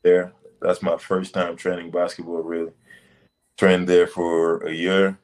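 A young man from Senegal, a basketball prospect, answering interview questions in loose, relaxed phrasing over a video call. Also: low voice, a little slow. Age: young adult. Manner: relaxed, loose phrasing with many "like" and "you know".

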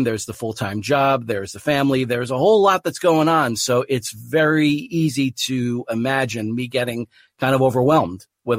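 A man's voice: medium pitch, no room sound. A man hosts his own podcast in a mid-range voice. He talks earnestly and loosely, restarting his thoughts. The sound is dry and close. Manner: earnest, rambling with restarts and laughs. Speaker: podcast host. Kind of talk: casual podcast chat.

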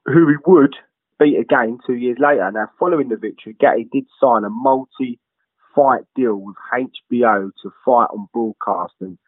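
A man's voice: mid-range voice, moderate pace, breathy edge, no echo. A man talking casually, commenting on a boxer's early career with restarts and asides. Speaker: co-presenter. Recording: phone-like dry audio.

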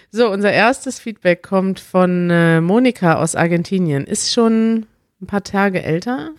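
A chatty woman co-hosting a podcast, talking in an easy, casual way. Her voice sits at a middle pitch, and she often breaks into laughter. The recording is close and dry. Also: dry recording. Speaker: podcast co-host. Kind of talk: casual chat.

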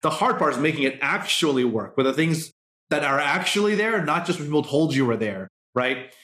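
A man's voice: on the high side, lively intonation, fairly quick, sharp tone, reverberant. A man hosting a podcast interview, musing at length in a casual, discursive way with lots of fillers. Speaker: podcast host.

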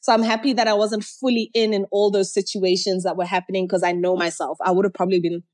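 A woman rapper from Zimbabwe, a guest telling stories in a chatty, animated way in a casual interview. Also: mid-range voice, quick pace.

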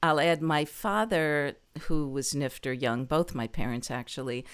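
A middle-aged woman with a low speaking voice, a performer telling stories from her life in an interview, lively and quick to laugh. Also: clean tone. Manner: lively, laughs often, animated asides. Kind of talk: conversational storytelling interview.

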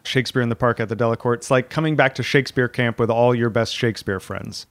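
A man's voice: mid-range voice, fairly even intonation, fast talker, dry recording. A man, a stage actor, talking enthusiastically in an interview. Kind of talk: interview talk.

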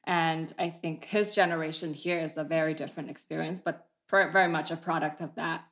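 A therapist who was born in Vietnam, calmly explaining history and family trauma as an interview guest. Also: male voice, fairly high pitch, brisk. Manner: calm, explanatory.

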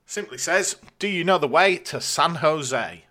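A man's voice: fairly high pitch, expressive, unhurried, a crowd in the background. A British man co-hosting a podcast, reading out listener questions and bantering in a jokey, colloquial, casual way.

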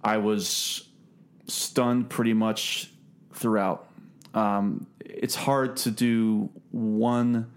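A man hosting a casual podcast review, talking haltingly and repeating words as he thinks. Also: lowish voice, level tone. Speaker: podcast host. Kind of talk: casual film review discussion.

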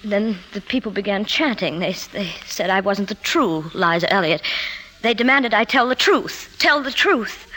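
An actress playing an anxious, glamorous woman confiding in her psychoanalyst, halting and tremulous in 1950s radio drama style. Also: mid-range voice, expressive, pushed and bright. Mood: distressed. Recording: noisy 1950s radio broadcast recording.

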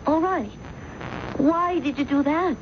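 Through a dull, noisy old radio recording comes a doubting fiancée. Her voice sits high, and she sounds troubled and reproachful as she questions her man. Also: slow pace. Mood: worried, reproachful. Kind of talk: radio drama acting.

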